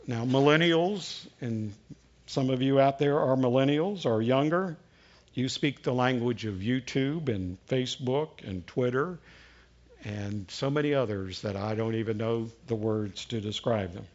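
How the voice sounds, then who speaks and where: A man's voice: mid-range voice, unhurried, a little mellow, dull audio. A man in his sixties with a Southern American accent, preaching a sermon as a pastor in a folksy storytelling way. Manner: folksy storytelling with frequent uh fillers.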